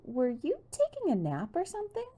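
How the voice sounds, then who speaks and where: A woman voicing a bubbly, boy-crazy teenage girl in an audiobook, breathless and excitable. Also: high voice, moderate pace, pitched above the performer's own voice. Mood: chirpy.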